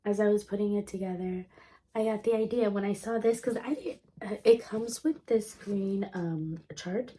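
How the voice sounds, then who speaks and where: Woman, 30s, homeschool vlogger; sick, congested and throaty; mellow tone, eager chatty delivery full of ums. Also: mid-range voice, moderate pace. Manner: chatty, enthusiastic, many ums and restarts. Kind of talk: casual show-and-tell vlog.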